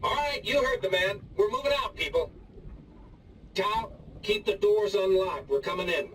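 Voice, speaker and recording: A commanding expedition leader in a video game, his voice high and urgent. He sounds distant, like a radio call with faint noise behind it. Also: normal speed, a bit bright. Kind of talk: dramatic video game acting.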